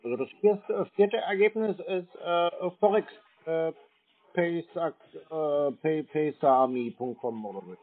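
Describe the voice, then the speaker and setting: A man with a husky voice in a phone conversation as a customer, answering tersely and sounding slow to understand. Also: a little high. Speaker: scam baiter posing as customer. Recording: narrowband phone line.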